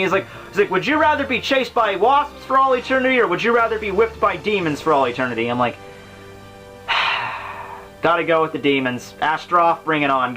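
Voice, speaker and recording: A man's voice: on the high side, pushed and bright, low-quality recording. A man giving casual, rambling commentary on a classic book as host, informal and slangy, with profanity and joking asides.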